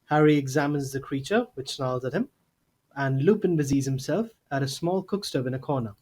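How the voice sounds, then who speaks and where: A man's voice: medium pitch, brisk, darkish tone, slightly rough. A man reading scripted narration for an audio drama. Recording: slightly noisy home mic.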